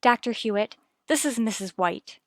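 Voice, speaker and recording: A woman's voice: fairly high pitch, expressive, moderate pace, dry recording, natural voice. An actress playing an anxious but determined young married mother in a vintage radio soap, speaking formally and politely in a dry recording. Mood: pleading, insistent.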